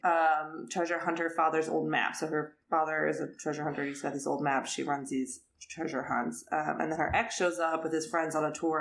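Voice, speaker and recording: A woman's voice: low voice, gravelly, slight background noise. A woman co-hosting a podcast, chatting casually and enthusiastically about books. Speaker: podcast co-host. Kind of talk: casual book chat. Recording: roomy echo.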